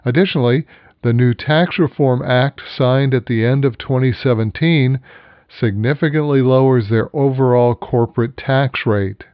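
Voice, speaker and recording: A man hosting a financial program, reading scripted news in a measured, formal manner. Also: mid-range voice, clear, resonant tone, no room sound, highs cut off.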